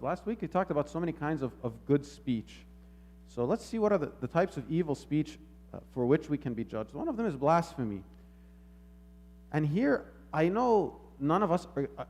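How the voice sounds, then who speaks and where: Man preaching a halting, reflective sermon. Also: a little high, darkish tone. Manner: halting, reflective, repeats words while explaining. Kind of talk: sermon, explanatory and semi-extemporaneous.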